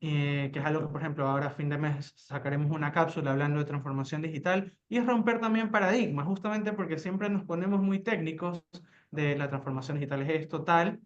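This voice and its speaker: A man's voice: fairly high pitch, a little fast, dull audio. A man speaking as a panelist and tech cluster leader, talking in a promotional, businesslike way. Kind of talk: webinar panel discussion.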